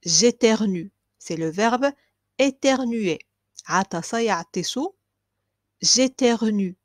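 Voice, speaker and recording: A woman teaching a language lesson. She repeats each phrase slowly and clearly, then explains it quickly. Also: medium pitch, no room sound, clean studio sound. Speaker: French teacher.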